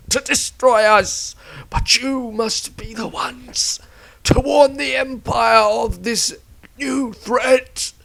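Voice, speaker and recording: Dying fantasy warrior: raised pitch, painfully slow, halting, desperate last warning. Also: male voice, vocal fry, a bit bright, heavily acted. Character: dying wounded male warrior giving urgent last warning. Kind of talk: fantasy audiobook character acting.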